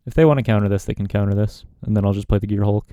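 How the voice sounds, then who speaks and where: A man's voice: fairly low pitch, rapid-fire, dry recording, clean studio sound. A man giving casual commentary while playing a card game, thinking aloud about his choices. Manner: relaxed, musing, talks himself through plays. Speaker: gaming content creator.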